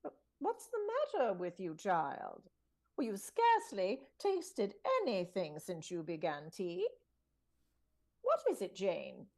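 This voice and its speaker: A woman's voice: medium pitch, wildly swooping pitch, slow pace, darkish tone, heavily acted. A woman acting a kindly, gossipy older housekeeper in a vintage radio drama, fussy and confiding. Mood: motherly concern. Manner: fussy, confiding, swooping emphasis.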